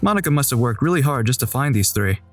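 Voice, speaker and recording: A man playing an awkward teenage schoolboy who narrates his own thoughts in a mid-pitched voice. The delivery is even and wryly self-aware, with faint music underneath. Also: fast talker, no echo, lightly acted. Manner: even, wry inner-monologue narration. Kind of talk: fan dub of a visual novel.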